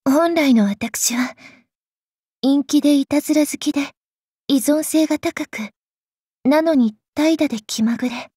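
A woman voicing an aloof, gloomy yet playful young royal lady in an anime game, refined and softly ironic. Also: sits high, measured pace, pauses often, no echo, clean studio sound. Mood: cool, self-deprecating. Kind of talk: anime game character voice acting.

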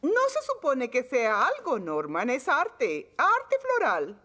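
A fussy, sing-song cartoon mum. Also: female voice, sits high, huge pitch swings, takes it slowly, fairly bright tone, soft background music, exaggerated voice. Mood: airy. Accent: Latin American Spanish. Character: fussy, chatty middle-aged mother. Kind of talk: cartoon dub acting.

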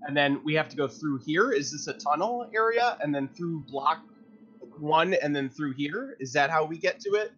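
A man's voice: on the high side, expressive, moderate pace, a bit bright, faint music behind. A man chatting casually as a player in a tabletop roleplaying game. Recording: dull audio, faint noise.

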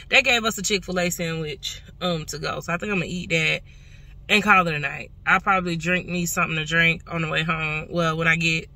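A woman's voice: on the low side, pushed and bright. A young woman chatting casually to camera in a folksy, conversational way, recorded on a phone inside a car. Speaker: vlogger. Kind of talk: casual vlog chat to camera. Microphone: phone at arm's length.

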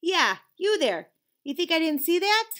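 A woman voicing a storybook lizard's bossy, scolding conscience, interrogating with drawn-out suspicion. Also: very high voice, sing-song, a bit bright, voice pushed up, full character voice. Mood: indignant.

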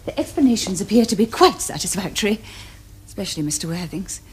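A woman's voice: mid-range voice, sing-song, fast talker, breathy edge. An actress playing a self-assured young society lady with an upper-class English accent and precise, affected delivery. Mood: grand, earnest. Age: young adult. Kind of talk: period television comedy acting.

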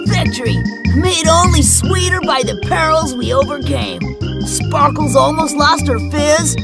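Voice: sits high, huge pitch swings, a little slow, full character voice. An actor playing a vain, bossy cartoon pizza slice who leads a gang in a grandiose, theatrical manner. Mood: triumphant, boastful. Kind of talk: cartoon voice acting. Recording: music behind the voice.

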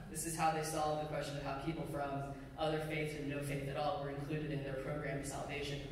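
A middle-aged pastor preaching a sermon in a measured, deliberate way with pauses. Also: medium pitch. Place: church sanctuary pulpit, indoors. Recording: very echoey hall, distant mic, faint noise.

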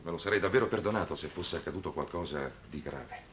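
A man's voice: low voice, fast, a bit bright. A man acting in a 1960s television drama as a brave, caring middle-aged priest. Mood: earnest. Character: brave, caring priest devoted to his boys. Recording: noisy old 1960s TV soundtrack.

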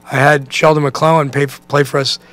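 American coach, halting and thoughtful. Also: male voice, on the high side, little pitch movement, fairly bright tone. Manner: halting, thinking aloud, peppered with 'you know'. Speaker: basketball coach. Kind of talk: press conference answers, conversational. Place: indoor press conference room. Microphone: microphone on a stand at a table.

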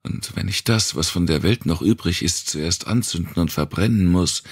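A man voicing a desperate, fiercely protective young woman who pleads in an urgent, hushed way. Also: low voice, slow, creaky, husky, dry recording, clean studio sound, voice pushed up, full character voice. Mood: pleading.

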